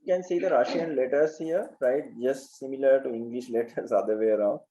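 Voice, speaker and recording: A man's voice: mid-range voice, brisk, mellow, muffled recording, faint music behind. A man presenting informally as an education agent, explaining things and often checking in with the listener.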